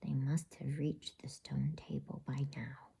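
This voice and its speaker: Grim dwarf henchman for a bedtime storybook played by a woman: wary counsel, husky, creaky whisper. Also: very deep voice, level tone, a little slow, warm tone, voice pushed down, heavily acted. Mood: grim, scheming. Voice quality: breathy whisper. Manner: deferential, counseling. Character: grim, wary male dwarf servant to a witch.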